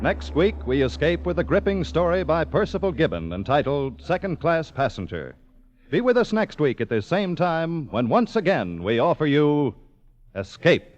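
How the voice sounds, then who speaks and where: A man's voice: fairly high pitch, big pitch swings, moderate pace. A man reading scripted announcements in formal, declarative 1940s network radio style. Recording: muffled 1940s radio recording, music behind.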